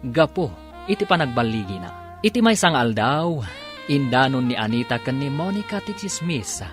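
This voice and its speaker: Animated man narrating a radio serial over music. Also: medium pitch, moderate pace. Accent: Ilocano. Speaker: radio drama narrator and announcer. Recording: music under the voice.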